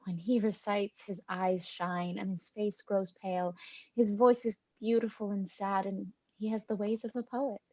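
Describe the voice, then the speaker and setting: A woman's voice: medium pitch, flat delivery, normal speed, darkish tone, no echo, lightly acted. Woman playing a gloomy lovesick girl, dull audio. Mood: yearning. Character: gloomy, lovesick young woman, quietly yearning. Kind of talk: cold play reading over video call. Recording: slightly dull, dry video-call audio.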